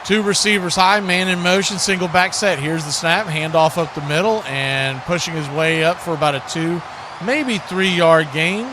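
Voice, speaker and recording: A man's voice: a little high, normal speed, background noise. An American man giving live football play-by-play with casual, folksy, conversational asides on a livestream. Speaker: play-by-play sports commentator.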